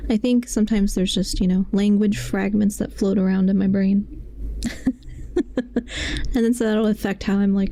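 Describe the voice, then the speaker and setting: A woman's voice: mid-range voice, gravelly, mellow, a little hiss or hum. A woman live streaming a game, chatting casually and wryly as she comments.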